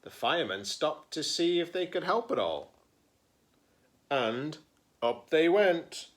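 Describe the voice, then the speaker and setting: Slow, very animated man reading aloud. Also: on the high side. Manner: playful, dramatic emphasis and pauses. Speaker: teacher-librarian reading a picture book aloud. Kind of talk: animated children's read-aloud with chatty asides.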